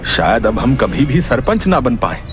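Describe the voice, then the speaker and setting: A man's voice: mid-range voice, big pitch swings, moderate pace, music in the background, lightly acted. Man acting a blustering, bitter village headman. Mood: bitter, grudging. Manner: blustering, rustic, peppered with interjections and curses. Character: pompous, bitter middle-aged male village headman, scolding and whining. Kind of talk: cartoon moral-story acting.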